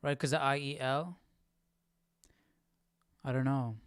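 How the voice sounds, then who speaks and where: A man's voice: medium pitch, steady tone, takes it slowly, smooth, no room sound, clean studio sound. A man hosting a livestreamed study, talking casually and questioning as he muses aloud to himself. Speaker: host of a Bible study livestream.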